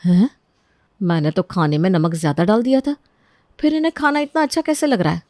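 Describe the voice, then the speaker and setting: A woman's voice: mid-range voice, fairly quick, a little mellow, no echo, studio quality, natural voice. A woman voicing a scheming, jealous young sister-in-law in a melodramatic story, two-faced and falsely sweet. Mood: baffled.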